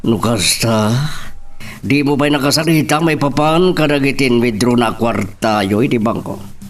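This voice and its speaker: A man's voice: mid-range voice, unhurried, natural voice. A man with an Ilocano accent playing a concerned, firm middle-aged father in an audio drama. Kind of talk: amateur Ilocano radio-style romance drama acting. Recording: distant, noisy microphone with clicks.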